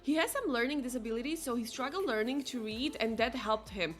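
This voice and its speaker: A woman's voice: on the high side, a little fast. A young woman with a Slovak accent, a non-native English speaker, chatting casually with viewers as she streams a game, sprinkling her talk with "like". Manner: chatty, heavy use of "like", reads viewers' chat aloud. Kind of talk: casual chat while playing a game. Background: faint game music.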